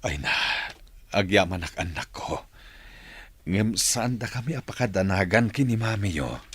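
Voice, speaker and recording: A man with a Filipino accent playing an ailing, regretful older father in a radio drama, with a husky, rough voice. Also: fairly low pitch, animated, moderate pace, fairly bright tone, a little hiss or hum. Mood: moved.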